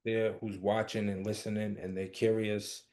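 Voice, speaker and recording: A man's voice: lowish voice, flat delivery, normal speed, darkish tone. A man from Brooklyn with a Brooklyn accent, a podcast co-host who sounds enthusiastic and affirming. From Brooklyn, New York. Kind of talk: warm interview chat.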